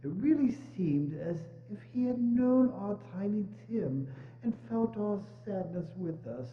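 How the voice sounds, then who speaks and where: A gentle, grieving Victorian father played by a man speaks in halting, stammering, tender phrases. It is a theatrical reading in a reverberant hall, with dull, muffled sound. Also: high-pitched, lively intonation. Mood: moved, halting. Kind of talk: dramatic reading of a Victorian classic.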